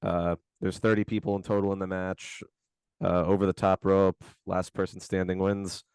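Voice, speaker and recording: A man co-hosting a podcast, his voice hoarse from screaming, chatting in a laid-back, slangy, rambling way from his bedroom at home. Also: deep voice, flat delivery, normal speed, darkish tone, dry recording. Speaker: podcast co-host. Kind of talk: casual podcast chat.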